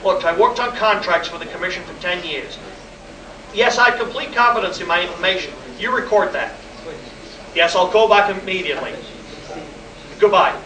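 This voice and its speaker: A man's voice: sits high, normal speed, pauses often, crowd noise, close to an everyday voice. A man playing an air base project chief under pressure in a live sci-fi radio play. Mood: grave, pleading. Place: live convention performance, recorded from the room. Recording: echoey distant room recording with faint noise.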